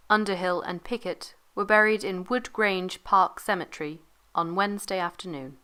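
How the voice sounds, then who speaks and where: A woman's voice: mid-range voice, a bit bright, smooth. A woman narrating, reading an old news report from a script.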